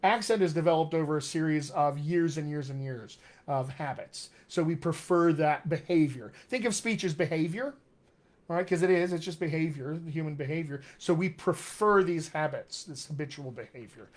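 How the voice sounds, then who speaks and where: Upbeat American man, higher voice. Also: moderate pace. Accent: General American with hints of West Texas and upstate New York. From Colorado, USA. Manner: upbeat, enthusiastic, teacherly. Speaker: dialect coach hosting a live Q&A. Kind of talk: casual live-stream Q&A.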